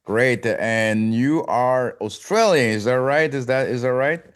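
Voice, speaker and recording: A man hosting a podcast interview who asks his guest questions in a halting way, often repeating himself. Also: mid-range voice. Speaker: podcast host.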